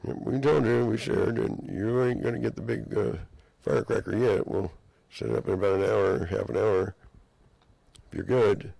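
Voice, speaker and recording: A man with a hoarse, gravelly voice that sits low and crackles into fry. He rambles in a loose monologue, slipping into mock-legal phrasing, and the recording is dry and close with no room sound. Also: steady tone, normal speed, dark tone, dry recording. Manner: rambling, mock-courtroom phrasing, drifting sentences.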